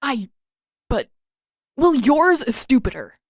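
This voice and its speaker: A woman's voice: high-pitched, big pitch swings, takes it slowly, dry recording, studio quality, pitched above the performer's own voice, heavily acted. A woman voicing a proud, short-tempered teenage prince with a snappish, flustered manner in an audio story. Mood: flustered, petulant. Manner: snappish, spluttering.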